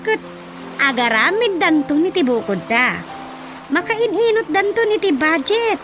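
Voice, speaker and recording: A woman's voice: extremely high pitch, big pitch swings, unhurried, exaggerated voice. An actress playing an adult village woman who leads community projects, talking in a chatty, explanatory way in an Ilocano radio drama. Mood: explaining. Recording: music under the dialogue.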